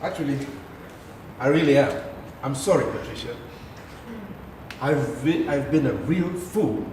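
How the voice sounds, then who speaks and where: A man's voice: mid-range voice, unhurried, darkish tone, far from the mic, lightly acted. A man acting a charming, contrite father and husband in a stage play, middle-aged. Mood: pleading, contrite. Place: theatre stage. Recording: echoey, noisy theatre recording from afar.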